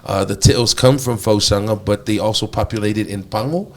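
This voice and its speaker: A man hosting a casual talk show, lively and teasing with his guests. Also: lowish voice, moderate pace.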